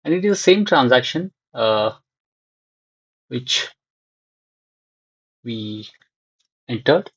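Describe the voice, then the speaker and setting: A man giving an unscripted screen-share software tutorial, thinking aloud in short, halting fragments. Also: mid-range voice, very animated, breathy edge, dry recording, muffled recording.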